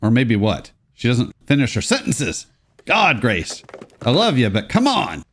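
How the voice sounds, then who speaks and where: A man's voice: mid-range voice, wildly swooping pitch, a little slow, a bit bright. A congested young man giving casual, sarcastic gameplay commentary as a let's play host.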